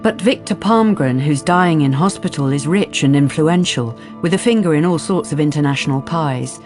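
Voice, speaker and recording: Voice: medium pitch, moderate pace, a little mellow, natural voice. A narrator telling a crime story in a scripted, composed radio drama style. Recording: music under the narration.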